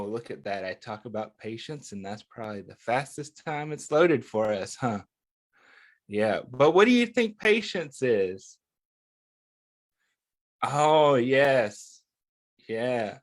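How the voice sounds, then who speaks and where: Slow-paced man hosting children's story time. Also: mid-range voice. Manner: encouraging, addresses listeners with questions and pauses for answers. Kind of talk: interactive read-aloud for young children.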